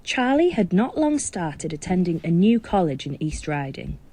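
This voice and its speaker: A woman narrates an educational game from a script, in a mellow, fairly low voice with lively intonation. It is instructional in style, played as game audio with some noise behind it. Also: moderate pace.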